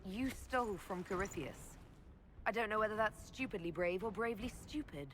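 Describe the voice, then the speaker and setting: A woman's voice: mid-range voice, expressive, moderate pace, fairly bright tone, slight background noise, lightly acted. An actress voicing a resolute female Inquisitor who speaks firmly and decisively. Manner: firm, decisive.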